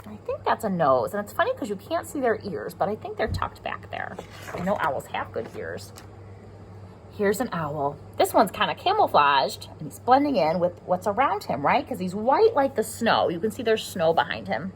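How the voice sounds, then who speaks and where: A woman's voice: mid-range voice, huge pitch swings, normal speed, slight background noise, low-quality recording. A woman with an American accent reading a picture book aloud to young children, explaining simply and asking them questions as a pre-K teacher.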